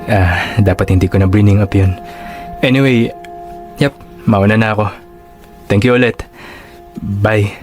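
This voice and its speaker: Man acting earnest, insecure suitor, low voice. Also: fairly even intonation, measured pace, pauses often, darkish tone, close to an everyday voice. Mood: wistful, pleading. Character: earnest, insecure male suitor longing for his sweetheart. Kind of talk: Tagalog radio drama acting. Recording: music under the voice.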